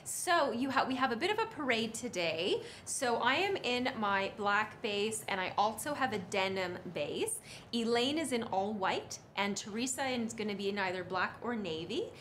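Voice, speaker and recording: Animated woman in her thirties, higher-pitched. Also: normal speed, slight background noise. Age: 30s. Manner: animated, effusive. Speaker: presenter and model on a fashion shopping livestream. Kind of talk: live sales presentation, chatty. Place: indoor studio with brick wall, standing back from camera.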